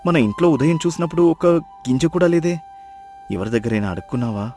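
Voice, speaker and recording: A man's voice: a little high, expressive, fairly quick, mellow, soft background music, close to an everyday voice. A man playing a poor, devoted fisherman husband in a moral story, speaking earnestly and with dramatic emphasis. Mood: alarmed questioning. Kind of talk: acted moral-story dialogue.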